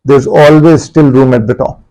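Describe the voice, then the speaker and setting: Gravelly-voiced Pakistani man, measured answers. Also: medium pitch, fairly even intonation, warm tone, poor audio. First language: Urdu. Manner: measured, switches between English and Urdu. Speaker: guest barrister. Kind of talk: interview answers.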